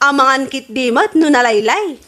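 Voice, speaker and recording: Woman acting a high-pitched, boastful Ilocano wife. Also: animated, unhurried, sharp tone, full character voice. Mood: gloating. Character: boastful, nagging wife jealous of neighbour's garden. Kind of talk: Ilocano radio comedy drama.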